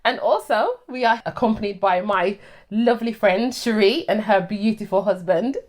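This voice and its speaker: A woman in her thirties hosting a casual chat recorded at home, telling stories with animated energy. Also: mid-range voice, moderate pace. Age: 30s. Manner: lively, animated storyteller. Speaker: host.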